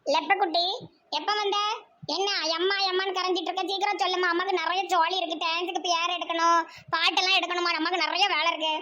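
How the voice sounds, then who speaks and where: A bossy village mother played by a woman with a very high, sharp cartoon voice. She chatters brashly in a southern Tamil Nadu accent, mocking and teasing whoever she is talking to. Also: little pitch movement, quick pace, highs cut off, a little hiss or hum. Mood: dismissive. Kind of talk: amateur cartoon comedy voice acting.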